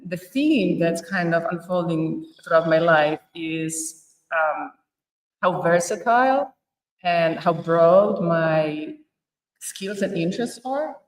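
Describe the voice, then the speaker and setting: A woman's voice: on the low side, slow, roomy sound, recorded from a distance, low-quality recording. A woman from Poland, a podcast guest talking casually about her life, laughing often and searching for words. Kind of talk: casual interview chat.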